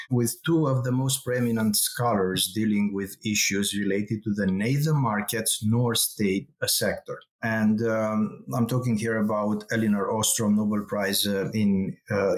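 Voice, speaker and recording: A middle-aged man with a Romanian accent, a guest scholar answering interview questions in a measured, halting way with many pauses and fillers. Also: lowish voice.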